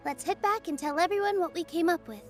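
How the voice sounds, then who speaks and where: A woman voicing a gentle young female dancer in a game, soft, hesitant and earnest. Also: extremely high pitch, fast talker, faint music behind. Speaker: theater dancer. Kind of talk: game voice acting.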